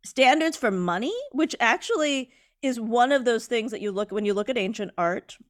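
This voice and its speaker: A woman's voice: on the high side, expressive, moderate pace. Chatty woman co-host explaining history. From not from India. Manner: chatty, self-correcting, lots of fillers. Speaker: podcast co-host. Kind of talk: casual chat, explaining art history.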